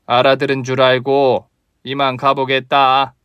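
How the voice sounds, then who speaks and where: A man's voice: medium pitch, completely flat delivery, measured pace, smooth, no echo, muffled recording, close to an everyday voice. A man voicing a harsh, greedy older mother-in-law who scolds and demands money in a contemptuous tone, recorded dry and close. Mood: scornful.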